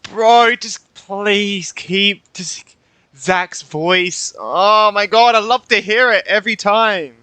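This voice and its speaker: Bright, sharp-voiced young man reacting to games. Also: high voice, slow, smooth. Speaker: gaming streamer. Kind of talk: casual live reaction commentary.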